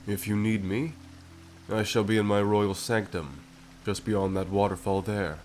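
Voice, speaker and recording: A man voicing a courtly, composed Butterfly King in an ASMR story roleplay, speaking in a regal, formal and deliberate manner. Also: fairly low pitch, steady tone, a little mellow, soft background music, lightly acted. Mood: gracious, calm.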